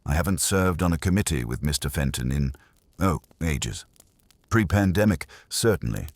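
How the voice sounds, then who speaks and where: A man narrating voices an authoritative doctor who closes doors politely. He is formal and guarded, with a very deep, lively-pitched delivery in a mystery audiobook. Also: moderate pace, no room sound, full character voice. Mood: evasive. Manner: formal, evasive, clipped. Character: guarded, authoritative male doctor, courteous but curt. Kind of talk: audiobook detective story.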